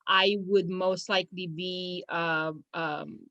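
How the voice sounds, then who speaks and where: Young woman from romania, low-ish voice, lots of ums and restarts, dry close sound. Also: steady tone, slow, bright, forceful tone, no echo, lo-fi sound. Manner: hesitant, many fillers and restarts, laughs easily. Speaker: law firm business manager. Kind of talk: casual interview.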